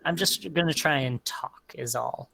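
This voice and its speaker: A man's voice: a little high, a little slow, vocal fry, crowd noise. A man playing a character in a tabletop game, polite and formal when in character. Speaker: player. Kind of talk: casual in-character roleplay.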